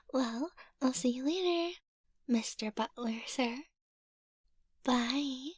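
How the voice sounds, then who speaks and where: A bubbly, childish young lady of the manor, acted by a woman in an ASMR roleplay. Her voice is high and girlish, with an unhurried, playful delivery. The sound is dry and close. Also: darkish tone, breathy edge, no room sound, full character voice. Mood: cheerful.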